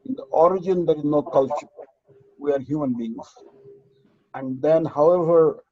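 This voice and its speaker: A man moderating an online seminar, lecturing earnestly with halting restarts over dull video call audio. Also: a little high, husky, dry recording.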